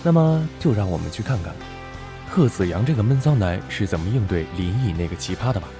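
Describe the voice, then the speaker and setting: A man's voice: fairly low pitch, expressive, brisk, music in the background. A man hosting an audio drama programme, introducing the story in a playful, teasing way. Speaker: podcast host.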